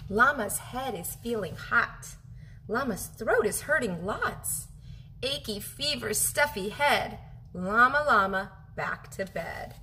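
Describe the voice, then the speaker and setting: A woman in her thirties reading a children's picture book aloud as a teacher, animated and expressive, drawing out her phrases for young listeners. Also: a little high, slow pace, slight background noise. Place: home kitchen, indoors.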